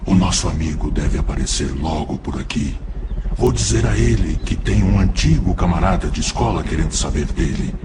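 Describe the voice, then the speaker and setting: A Brazilian man playing a rough, bullying male spirit in an audio drama, with slangy, streetwise speech. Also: a bass voice, lively intonation, normal speed, heavy background noise. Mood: wary, casual. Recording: loud music behind the voice. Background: loud music.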